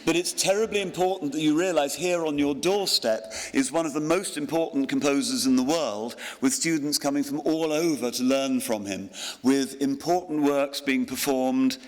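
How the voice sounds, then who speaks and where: A man in his sixties giving a graduation address at a ceremony, self-deprecating, with pauses and ums. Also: medium pitch. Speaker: honorary graduate giving a speech. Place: large hall at a graduation ceremony. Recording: long hall reverb.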